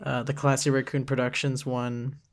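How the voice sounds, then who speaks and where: A man giving a casual, off-the-cuff commentary track, thinking aloud in a halting way. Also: medium pitch, level tone, crowd noise. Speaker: series creator giving a commentary. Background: cartoon episode audio and music playing underneath.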